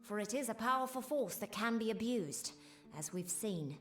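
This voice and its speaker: A woman's voice: a little high, expressive, normal speed, lightly acted. A woman voicing a dutiful, earnest mage scholar with formal phrasing in a fantasy video game. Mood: earnest, reflective. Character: dutiful female mage scholar stationed in a village. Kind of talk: scripted game dialogue. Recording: roomy echo, background music.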